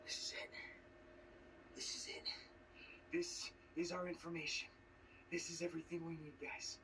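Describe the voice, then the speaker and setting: An actor playing a secretive, paranoid man who films an urgent warning, hushed and repeating himself. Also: a little high, level tone, normal speed, recorded from a distance, noisy background, natural voice. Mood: urgent, conspiratorial.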